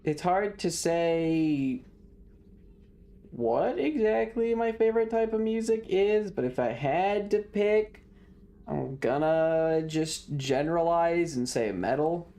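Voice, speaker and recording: A woman's voice: fairly low pitch, lively intonation, slow, mellow. A woman streamer giving casual live game commentary, with teasing, joking asides.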